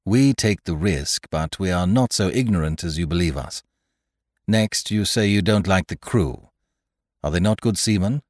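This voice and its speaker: A man voicing a calm, shrewd gentleman doctor in a measured, courteous way for a storybook. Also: low voice, dry recording, clean studio sound, voice pushed up.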